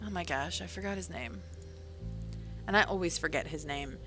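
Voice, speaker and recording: A woman's voice: low voice, moderate pace, over music. A woman chatting casually on a livestream, rambling along with lots of fillers and correcting herself as she goes. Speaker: author and livestreamer.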